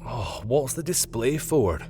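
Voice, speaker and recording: A man's voice: mid-range voice, sing-song, slow, music in the background. A man voicing an eager, cheeky young man in an audiobook, casual and playful. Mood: excited. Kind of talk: audiobook character voice.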